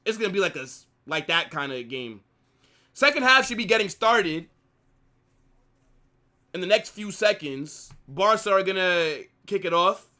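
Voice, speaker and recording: A man hosts a live football watch-along, talking casually and answering his chat. His voice sits high and sounds bright and sharp, swinging up and down as he reacts. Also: unhurried, long pauses. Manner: animated banter, reading chat aloud. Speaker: live stream host.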